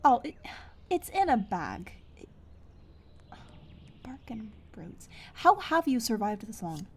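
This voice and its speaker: A woman playing a rugged, wry forest hunter in a fantasy audio roleplay, speaking in a dry, sardonic manner with hesitant pauses. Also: a little high, sing-song, breathy edge, heavily acted. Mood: exasperated, boastful.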